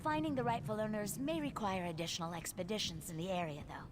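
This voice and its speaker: Earnest female relic collector acted by a woman for a fantasy game; mid-pitched, articulate, businesslike, faint noise behind. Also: fast, lightly acted. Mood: purposeful. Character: purposeful female antiquities collector organising expeditions. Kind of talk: video game character dialogue.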